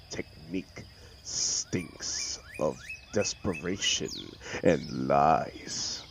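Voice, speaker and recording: Male actor as arrogant telepathic villain, extremely low voice, very slow drawn-out sneer, audio drama, faint background noise. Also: creaky, a little mellow, heavily acted. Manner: sneering, sarcastic, theatrical, drawn-out delivery. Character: arrogant mocking telepathic male supervillain, threatening and contemptuous. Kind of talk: dramatic audio roleplay monologue.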